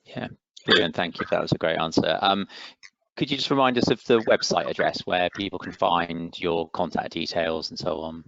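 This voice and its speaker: Low-pitched man hosting a webinar. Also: moderate pace, gravelly, a bit bright, dry recording. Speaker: webinar host. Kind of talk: hosting an online webinar, closing announcements. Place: online video call. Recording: dull, dry online call audio.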